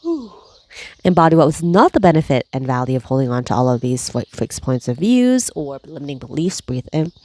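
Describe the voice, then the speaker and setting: A woman leading a guided energy clearing session, speaking slowly and soothingly, with repeated cues and breathy sighs. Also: rumbling low, animated, darkish tone. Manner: slow, soothing, repetitive guiding with breathy sighs. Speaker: energy healing facilitator.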